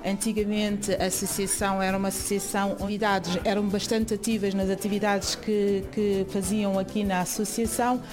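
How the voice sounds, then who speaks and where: A young woman from Guinea-Bissau speaks as a volunteer giving an informal guided explanation. Her pitch is average, and she repeats phrases for emphasis. Music plays clearly behind her. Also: level tone, normal speed, hardly any pauses. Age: young adult. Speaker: association volunteer.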